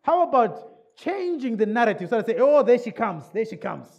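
A man's voice: very high voice, big pitch swings, normal speed, gravelly, darkish tone, muffled recording. A man preaching a sermon in a church service in England, English not his first language, repeating key words and checking in with the listeners.

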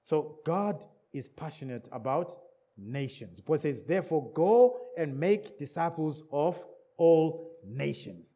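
A man's voice: fairly high pitch, lively intonation, slow pace, warm tone, lo-fi sound. A man preaching a sermon in a church service in England, English not his first language, repeating key words and checking in with the listeners.

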